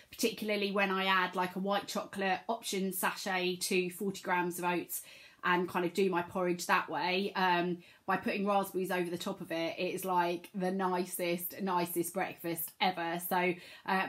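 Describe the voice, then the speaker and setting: Chatty woman, slightly croaky with a cold, rambles casually to camera. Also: mid-range voice, a bit bright. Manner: chatty, many ums, rambling. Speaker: vlogger.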